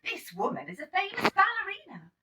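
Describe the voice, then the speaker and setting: A woman's voice: very high voice, wildly swooping pitch, fairly quick, sharp tone, voice pushed up, heavily acted. A woman voicing an encouraging storybook teacher, explaining things in an instructive, emphatic way. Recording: slightly distant microphone.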